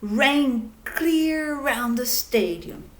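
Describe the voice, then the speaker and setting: Woman reading a kids story out loud, really slow and dramatic, higher voice, big pauses. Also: sing-song. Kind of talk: expressive children's picture book narration.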